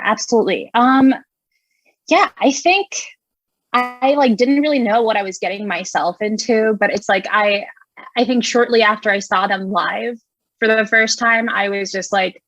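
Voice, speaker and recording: A woman's voice: medium pitch, a bit bright, dry recording, poor audio. A young woman who grew up in Indonesia, a music writer talking as a guest in a casual interview, enthusiastic and full of fillers. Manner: chatty, enthusiastic, many fillers and laughs.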